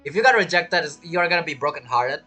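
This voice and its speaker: A woman streamer reacts casually to a video game. Her voice is low and swings in pitch playfully, with music behind her. She throws in short comments and interjections. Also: animated, fast, pushed and bright. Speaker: gaming streamer reacting to a story quest.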